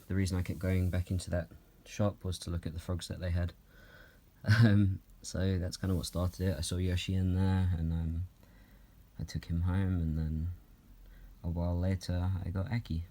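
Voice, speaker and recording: A young man from Norway, answering viewer questions about his reptiles at home in a calm, unhurried way, with many ums and soft laughs. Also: low voice. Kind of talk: relaxed Q&A vlog. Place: at home, handling snakes while filming.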